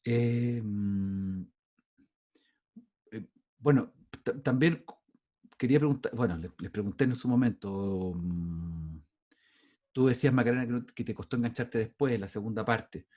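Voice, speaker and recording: Chilean guy teaching over a video call, husky voice, kinda rambly, keeps restarting. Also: lowish voice, a little slow, long pauses, a little mellow, dull audio. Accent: Chilean Spanish. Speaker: university lecturer. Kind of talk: online class lecture.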